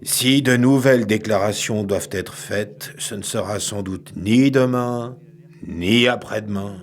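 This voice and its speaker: A man's voice: lowish voice, a little slow. An actor playing a weary, exasperated father muttering his inner monologue in an audio drama. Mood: resigned. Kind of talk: audio fiction acting.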